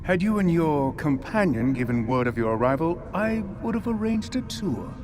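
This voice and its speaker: An actor playing a pompous, scheming alien prophet in a video game cutscene, speaking in a slow, grandiose monologue. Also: male voice, a little high, big pitch swings. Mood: condescending. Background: music score.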